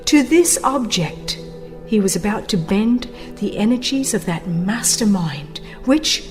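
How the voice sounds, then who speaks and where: A woman narrating a religious book as a dramatized reading, solemn and reverent, with measured, dramatic emphasis. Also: medium pitch, lively intonation, music in the background. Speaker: audiobook narrator.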